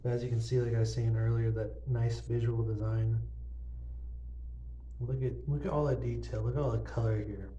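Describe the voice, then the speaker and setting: A man's voice: mid-range voice, steady tone, normal speed, mellow, lo-fi sound, slight background noise. A young man casually showing his banknote collection to a phone camera, rambling with lots of fillers. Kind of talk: casual show-and-tell narration. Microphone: phone filming banknotes.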